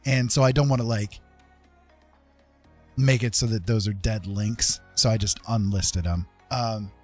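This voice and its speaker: A man's voice: lowish voice, fairly even intonation, a little slow, darkish tone. Rambling middle-aged man over music. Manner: rambling, conversational, self-deprecating. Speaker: livestreamer hosting an art tutorial and chat. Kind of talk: casual chat to a live chat room.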